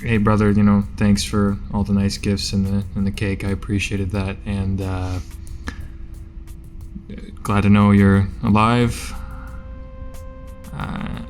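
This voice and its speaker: A man playing a tabletop game, bantering casually in a halting, informal way. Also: lowish voice, level tone, darkish tone, soft background music.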